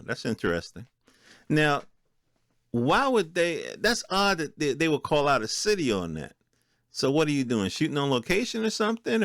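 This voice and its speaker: A man's voice: mid-range voice, fairly bright tone, no echo, studio quality. A middle-aged American man hosting a podcast solo, giving informal commentary with offhand asides and checking in with listeners. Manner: conversational, checks in with listeners using rhetorical questions. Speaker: podcast host.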